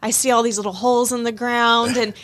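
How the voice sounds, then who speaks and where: A woman's voice: on the high side, a little fast, no echo. A young woman from Minnesota, raised in Georgia, with a mix of Midwestern and Southern accent, chatting as a radio interview guest and telling stories with easy laughs. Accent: mixed Midwestern and Southern US. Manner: chatty, animated storyteller who laughs easily. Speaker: guest, hospitality and events manager.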